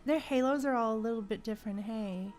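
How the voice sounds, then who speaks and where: A woman's voice: fairly high pitch, brisk, darkish tone. A woman livestreamer giving casual, chatty gameplay commentary and reacting aloud to the game. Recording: game music behind.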